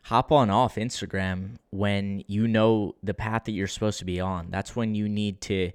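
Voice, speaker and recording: Low, smooth, monotone male podcaster. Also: normal speed, no room sound, clean studio sound. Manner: monotone, low-key and unexcited; conversational with frequent restarts. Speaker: podcast host. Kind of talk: solo podcast monologue.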